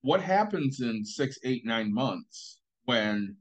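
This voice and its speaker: A man's voice: medium pitch, very animated, takes it slowly. A man with a mid-range voice speaks up in a casual chat, skeptical and cautionary. He swears now and then as he presses his worries.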